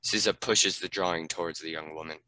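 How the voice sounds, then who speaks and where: Low, bright-voiced male narrator. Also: steady tone, a little fast, no echo, rough recording. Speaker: narrator reading stage directions. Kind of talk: scripted narration.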